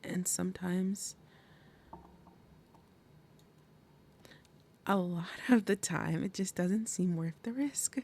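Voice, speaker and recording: A woman playing a fierce, protective demon mother and princess of wrath in an ASMR roleplay, with dramatic, breathy, menacing delivery. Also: on the low side, unhurried, a little mellow. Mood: solemn, guarded.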